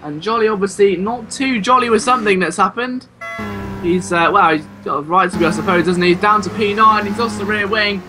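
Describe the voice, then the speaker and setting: A man giving excitable, exclamatory live play-by-play commentary on a motor race. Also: high voice, a little fast, over music, poor audio. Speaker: sim racing race commentator. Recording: clear car engine noise behind. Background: racing car engines.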